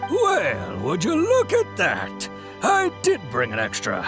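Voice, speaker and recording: Friendly game merchant, high-pitched, swooping intonation, folksy, hesitant, sympathetic. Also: male voice, wildly swooping pitch, slow, exaggerated voice. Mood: friendly, helpful. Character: friendly adult male merchant, sympathetic and reflective. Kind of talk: scripted game dialogue. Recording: music behind the voice.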